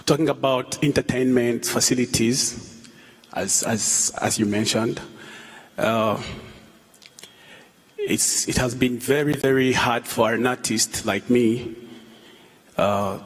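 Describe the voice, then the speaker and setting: Rwandan man, thirties, slow halting speech, fillers, repeats words, long hall reverb. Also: mid-range voice, steady tone, slightly rough. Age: 30s. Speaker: musician guest speaker. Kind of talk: onstage talk at a public event.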